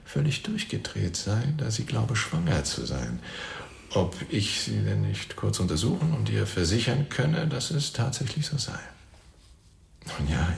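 An actor playing a suave, evasive middle-aged doctor in a radio drama, speaking formally and precisely in a gravelly voice that fades into a murmur. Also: male voice, fairly low pitch, full character voice. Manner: formal, precise, pompous wording with deliberate pauses. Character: suave evasive doctor confessing under police questioning. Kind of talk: radio drama acting, monologue testimony. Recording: roomy echo, faint background noise.

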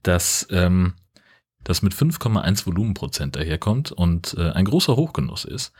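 A man with a deep, rough-edged voice. He talks casually as a podcast co-host, with a wry, mock-formal turn of phrase and hesitant pauses. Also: animated, darkish tone.

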